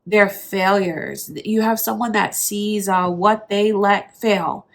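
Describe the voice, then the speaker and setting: A woman's voice: medium pitch, steady tone, slow. A woman with a stuffy, congested voice from allergies, giving a casual, reassuring tarot reading straight to the viewer. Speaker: tarot reader.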